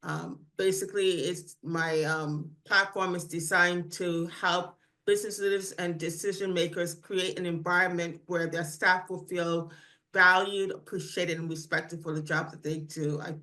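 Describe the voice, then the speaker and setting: A woman's voice: on the low side, fairly even intonation, measured pace, pushed and bright. A woman from New England introducing herself on a call, speaking hesitantly with many fillers. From New England, USA.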